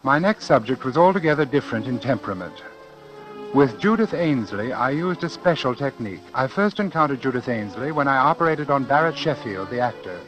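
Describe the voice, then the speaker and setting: A man playing a bitter, guilt-haunted doctor, commanding and coldly intellectual, with measured, formal diction in 1940s radio acting. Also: medium pitch, expressive, lightly acted, rough recording. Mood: detached narration. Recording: old 1940s radio recording with music.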